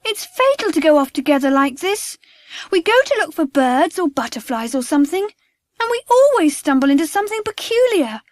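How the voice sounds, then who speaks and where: A man puts on an adventurous schoolchild for a storybook. The voice sits far above an adult male register and moves up and down freely. It is earnest and excitable, recorded close and dry. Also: extremely high pitch, a little fast, no room sound, voice pushed up. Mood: weary.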